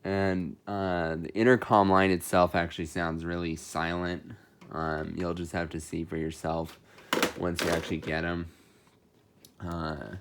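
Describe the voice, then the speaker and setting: A man giving a casual, unscripted technical walkthrough in a matter-of-fact, explanatory way, as a hobbyist showing equipment. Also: sits low. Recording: noticeable background noise with clicks.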